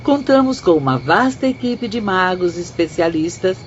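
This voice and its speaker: An actress with a Brazilian accent playing a serene, instructive spirit sister in a radio drama, with formal, didactic speech. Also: female voice, fairly low pitch, animated, normal speed, close to an everyday voice. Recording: dull audio with faint background noise.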